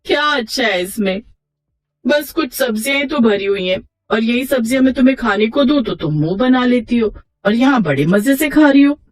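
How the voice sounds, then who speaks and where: A woman voicing a practical, indulgent young elder sister who gently chides in a children's moral story. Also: on the high side, animated, moderate pace. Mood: chiding.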